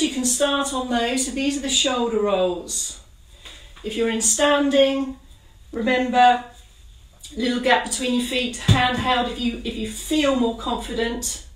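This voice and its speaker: A woman leading a gentle exercise class, giving calm, encouraging step-by-step cues. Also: on the high side, recorded from a distance. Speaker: exercise instructor. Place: kitchen. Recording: roomy sound from away from the mic.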